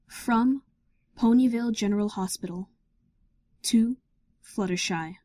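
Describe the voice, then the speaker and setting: A woman's voice: on the high side, measured pace, warm tone, no room sound. A woman narrating a scripted story in a dramatic reading style.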